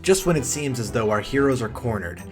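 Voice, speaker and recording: A man's voice: medium pitch, lively intonation, a little fast, over music. Wry young man mocking a film. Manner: wry, mocking asides with swearing. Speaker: film reviewer. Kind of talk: sarcastic movie review commentary.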